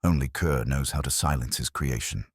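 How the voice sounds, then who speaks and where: A man's voice: extremely low pitch, moderate pace, husky, no room sound, studio quality. A man narrating a story as a stern, precise detective with a clipped, formal, grimly controlled manner. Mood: grim, urgent.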